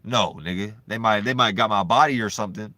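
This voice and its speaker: Deep-voiced man rambling casually. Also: fast talker, dry recording. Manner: loose, profane and repetitive, full of "you know what I'm saying"; swings from animated bursts to low mumbling. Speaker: vlogger.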